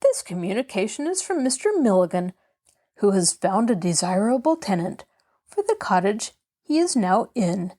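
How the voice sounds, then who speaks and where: A woman's voice: on the high side, a little mellow. A woman voicing a formal, matter-of-fact male landlord in a storybook, reasonable but unbending. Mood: brisk, formal. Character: brisk, businesslike grown man landlord, reasonable but unbending.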